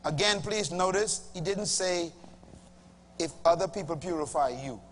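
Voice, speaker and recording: Bahamian pastor preaching, high voice, booming then dropping low, big reverby church, bit of hum. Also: male voice, measured pace. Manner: emphatic, swings from loud bursts to low asides. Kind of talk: sermon. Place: large church auditorium with a congregation. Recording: reverberant hall, faint hum.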